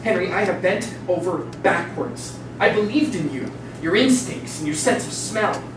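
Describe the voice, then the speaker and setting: A woman's voice: lowish voice, animated, moderate pace, natural voice. A woman playing a weary, fed-up male right-hand man, terse and bitter, in a staged dramatic reading. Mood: exasperated. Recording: echoey room, distant mic, noisy.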